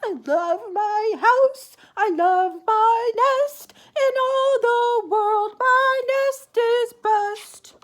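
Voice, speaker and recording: Contented storybook mother bird acted by a woman, high voice, unhurried, emphatic. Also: exaggerated voice. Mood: happy singing.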